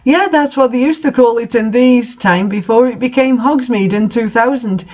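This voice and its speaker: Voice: medium pitch, normal speed, a little mellow, voice pushed up, close to an everyday voice. A reader playing a secretive, moody young male goth student from a story, with a melodramatic manner. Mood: secretive excitement. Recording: dull lo-fi sound.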